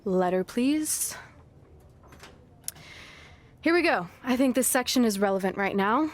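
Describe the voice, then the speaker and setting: A young woman wrestler from a video game story. Her voice sits a little high. She talks like a loyal friend, sarcastic and encouraging, in scripted cutscene dialogue. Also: lively intonation, unhurried. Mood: earnest.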